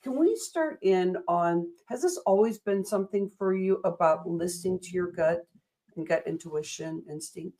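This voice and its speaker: Upbeat American woman host, fairly low voice, rambling and affirming. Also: normal speed, mellow. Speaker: interview host. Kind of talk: upbeat motivational interview.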